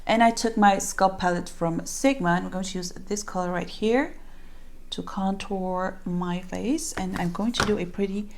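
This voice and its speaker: Chatty Dutch-accented young woman, gravelly. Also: medium pitch, fairly even intonation, normal speed. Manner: chatty, rambling with many fillers. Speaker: beauty vlogger. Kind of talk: casual makeup tutorial chat.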